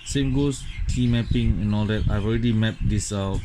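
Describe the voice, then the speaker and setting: A man's voice: lowish voice, moderate pace, background noise. A man from Singapore with a Singaporean English accent, casually demonstrating a phone gamepad and thinking aloud with lots of okays and fillers. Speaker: gadget reviewer. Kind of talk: casual hands-on product demo. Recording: loud game music behind. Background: loud game music.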